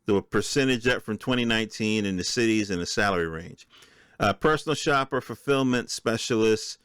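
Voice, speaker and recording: A man's voice: lowish voice, a bit bright, clear, resonant tone, no room sound. Casual American man, close dry sound. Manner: informal, opinionated, conversational, talks directly to listeners. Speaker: podcast host. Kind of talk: casual solo commentary, reading and reacting to news articles.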